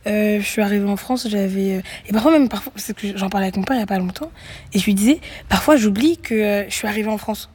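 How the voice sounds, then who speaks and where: A young woman who grew up in Greece, a musician guest answering interview questions thoughtfully, with many pauses and restarts. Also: mid-range voice, a little mellow, slight background noise. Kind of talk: reflective radio interview answers.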